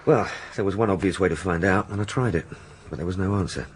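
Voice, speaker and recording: An actor playing an earnest, methodical police sergeant in a radio drama, explaining eagerly. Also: male voice, low voice, fast, a little hiss or hum, close to an everyday voice. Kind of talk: naturalistic British radio drama acting.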